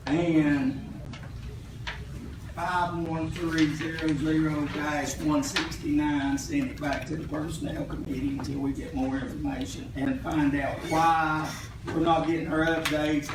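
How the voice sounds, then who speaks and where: A man with a Southern US accent speaking as a commissioner at a local government meeting. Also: fairly high pitch. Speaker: county commissioner. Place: indoor public meeting room. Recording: very echoey room, distant mic, noisy.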